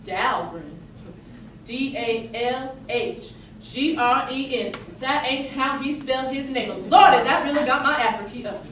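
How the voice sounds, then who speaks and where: A woman's voice: high-pitched, a little slow, fairly bright tone. A woman playing a frightened, spirited enslaved young spy of the Civil War, telling her story theatrically in breathless, stammering exclamations. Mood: indignant, rueful. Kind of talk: theatrical stage monologue, narrating and reliving events. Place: theatre stage, miked from a distance. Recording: roomy distant stage capture, faint noise.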